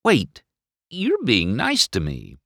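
A man voicing a cocky, excitable young male race car in a children's storybook, with sputtering, indignant protests. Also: mid-range voice, takes it slowly, creaky, no room sound, studio quality, voice pushed up, heavily acted. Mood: confused.

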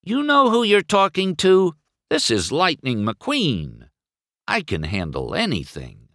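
A man voicing a cocky, excitable young male race car in a children's storybook, with sputtering, indignant protests. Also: fairly high pitch, moderate pace, dry recording, studio quality, voice pushed up, heavily acted.

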